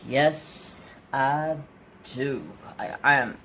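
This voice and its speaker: A man's voice: medium pitch, drawn-out words, sharp tone, a little hiss or hum. A man in his forties chatting casually in a rambling, storytelling way while crafting. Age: 40s. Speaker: crafting vlogger.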